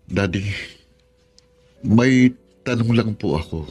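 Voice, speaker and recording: An actor with a Filipino accent playing a grateful, humble adult son in a radio drama, sincere and emotional. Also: male voice, fairly low pitch, slow pace, a little mellow, husky, soft background music. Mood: puzzled, earnest.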